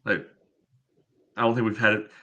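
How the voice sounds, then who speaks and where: Man, fairly low voice, podcast co-host, casual sports chat, measured and hedging. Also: flat delivery, pushed and bright.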